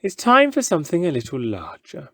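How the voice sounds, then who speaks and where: A theatrical male showman, acted by a man reading a storybook, goads and coaxes his crowd. His pitch is lifted and his delivery is showy and insistent, in a dry, close sound. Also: wildly swooping pitch, fairly quick, fairly bright tone, no echo, heavily acted.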